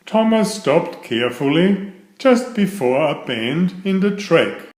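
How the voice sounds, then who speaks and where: Slow, animated male storybook narrator. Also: on the high side, roomy sound. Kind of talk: read-aloud children's story, scripted narration.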